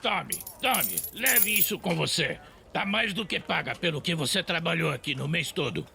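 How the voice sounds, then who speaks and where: A man playing a gruff, stingy tavern owner in an audio drama, scornful and blustering. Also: on the high side, animated, normal speed, bright, forceful tone, slight background noise, full character voice. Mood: scornful, dismissive.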